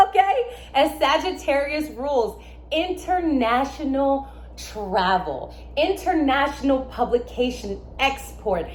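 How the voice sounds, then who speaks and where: A young adult woman, born in Germany and raised in America, explaining astrology in a casual, emphatic way with lively asides. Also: high voice, takes it slowly, slight background noise. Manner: animated, emphatic, with asides and laughs. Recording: echoey room with faint ambience.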